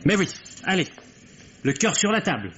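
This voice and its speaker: Young male murder suspect, sardonic, guarded, curt retorts, expressive intonation, 1950s radio drama, dull faintly noisy sound. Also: high voice, normal speed. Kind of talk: 1950s French radio mystery acting. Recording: dull vintage radio recording, faint hiss.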